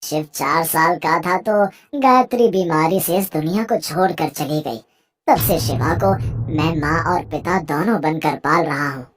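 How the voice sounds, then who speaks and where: An actor voicing a gentle old widowed village father in a cartoon moral story, mild, trusting and fatherly. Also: mid-range voice, unhurried, slight background noise, close to an everyday voice. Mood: mild, wistful. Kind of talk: animated Hindi moral story acting.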